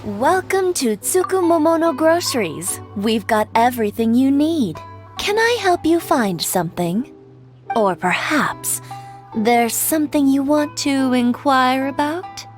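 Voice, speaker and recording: A courteous female shopkeeper from a video game. Her intonation moves around a lot while she stays composed and shrewd, explaining things in measured phrases over faint music. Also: sits high, expressive. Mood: welcoming. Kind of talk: video game dialogue acting.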